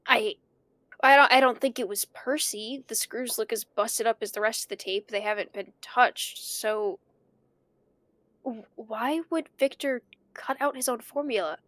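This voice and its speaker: A woman's voice: a little high, a little slow, fairly bright tone, dry recording. A woman playing an anxious, bewildered amateur investigator of old tapes, thinking aloud with hesitant stammers in a naturalistic audio drama. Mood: puzzled, nervous.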